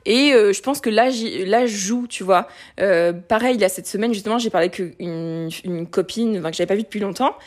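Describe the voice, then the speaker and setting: A woman's voice: medium pitch, brisk, hardly any pauses. Candid woman in her late twenties. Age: late 20s. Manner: candid, animated, many fillers and restarts. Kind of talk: casual conversation.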